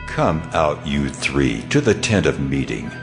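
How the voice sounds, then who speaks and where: A very low, grave divine voice, stern and commanding, with wide swings in delivery. It speaks solemnly as God in a scripture drama, with music clearly underneath. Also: male voice, sing-song, a little slow, full character voice. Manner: grave, authoritative, declamatory.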